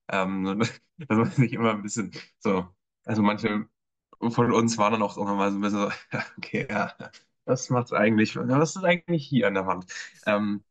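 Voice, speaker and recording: A man talks through a performance he saw in a loose, conversational review. His voice sits fairly low, and he often pauses, backtracks and fills gaps with hums as he gathers his thoughts. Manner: hesitant, many filler sounds, relaxed. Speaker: culture reviewer in an editorial team. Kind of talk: casual spoken review.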